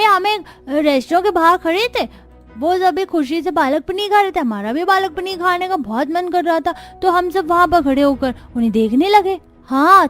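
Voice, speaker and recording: A woman's voice: extremely high pitch, a bit bright. A woman voicing an innocent young child from a poor family in a cartoon, with a childlike, eager way of talking.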